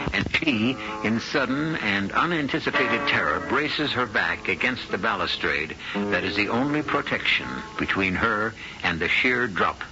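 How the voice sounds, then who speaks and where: A man playing the grave host of a 1970s radio mystery, narrating in a measured, ornate, suspenseful way. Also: lowish voice. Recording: dull old radio broadcast with music.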